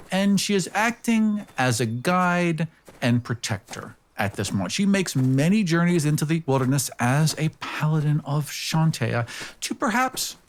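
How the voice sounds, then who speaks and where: A man speaks slowly as a player in a tabletop game. His pitch swings in a lively way while he casually improvises a description of his character.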